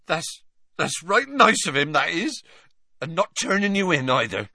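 A man voicing a huge, soft-hearted male groundskeeper, tearful and choked up, speaking in a folksy way, raised above his own voice. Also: fairly high pitch, big pitch swings, a little slow, vocal fry, no room sound, clean studio sound, voice pushed up, full character voice.

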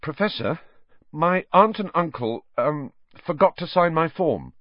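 A man voicing a nervous, pleading teenage schoolboy in a storybook, halting and polite with stammers. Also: fairly high pitch, lively intonation, no echo, highs cut off, voice pushed up.

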